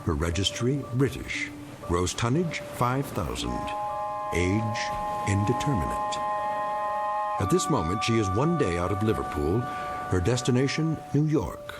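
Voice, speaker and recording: A man narrating an eerie radio drama in a grave, measured, portentous manner. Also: on the low side, sing-song. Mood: grave, matter-of-fact. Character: grave, ominous male narrator of eerie tales. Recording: music bed under the voice.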